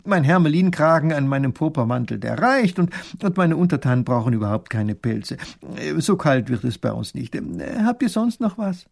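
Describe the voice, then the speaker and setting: A man's voice: fairly high pitch, sing-song, normal speed, creaky, a little mellow, voice pushed up, exaggerated voice. A man voicing a naive, curious little grand duke who stammers through his questions.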